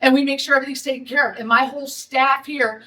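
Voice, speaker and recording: A woman's voice: high voice, steady tone, fast, bright, forceful tone, far from the mic. A middle-aged woman from Detroit with a Detroit accent, a restaurant owner holding a blunt, indignant press conference.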